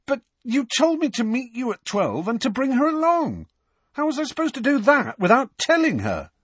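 A man's voice: lowish voice, lively intonation, brisk, breathy edge, no echo. A man voicing a baffled, easily flustered teenage boy wizard with an exasperated, spluttering manner in an audiobook.

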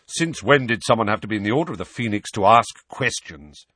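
Man as cool-headed godfather, adult male, firm, challenging, sardonic, lively intonation, storybook character. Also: lowish voice, fairly quick, husky, no echo, studio quality, full character voice. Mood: calm, challenging. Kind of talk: audiobook character voice.

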